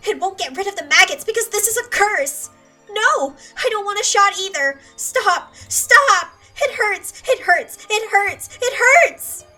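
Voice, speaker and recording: Unhinged guilt-ridden teen girl, high and piercing, set back from the mic over music. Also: normal speed, pushed and bright, recorded from a distance. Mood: hysterical. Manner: obsessive, repeating apologies, swinging from whisper to scream. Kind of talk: dubbed anime drama monologue.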